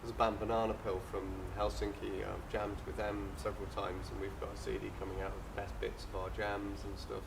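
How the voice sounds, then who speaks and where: Gravelly English musician chatting in a bar. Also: male voice, lowish voice, monotone, a little fast, hardly any pauses, distant mic, heavy background noise. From England. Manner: rambling, repeats phrases. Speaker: touring musician. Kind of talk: casual interview chat. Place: small town bar.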